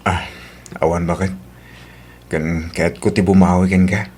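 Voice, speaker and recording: A man's voice: low voice, level tone, a little slow, a little mellow, husky. A man with a Filipino accent playing an evasive, guilty husband in an audio drama, hesitant and stammering. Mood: sheepish, affectionate. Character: evasive, guilty cheating husband making amends. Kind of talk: Ilocano audio drama acting.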